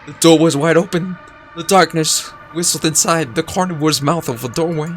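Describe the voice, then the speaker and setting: A man's voice: on the high side, normal speed, soft background music. A young man playing a horror visual novel, reading the narration aloud with suspenseful emphasis. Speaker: gaming video creator.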